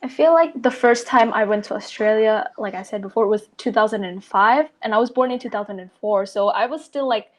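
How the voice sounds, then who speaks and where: A woman's voice: on the high side, fairly quick, few pauses. Chatty Indonesian teen girl. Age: teens. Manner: rambling, many fillers and restarts. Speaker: guest. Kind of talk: casual podcast chat.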